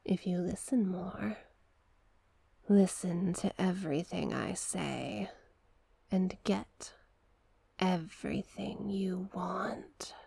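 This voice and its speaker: A woman voicing a seductive, manipulative sea witch in an ASMR roleplay, coaxing hypnotically in a breathy, husky voice that often drops to a whisper. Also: on the low side, warm tone, no echo, heavily acted. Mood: hypnotic, coaxing. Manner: slow, hypnotic, coaxing repetition.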